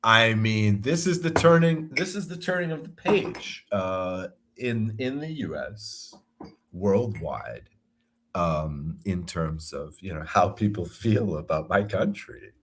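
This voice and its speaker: A man's voice: lowish voice, animated, slow pace, background noise. An American man co-hosting a sports podcast, chatting casually and rambling through stories with frequent chuckles. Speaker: podcast co-host.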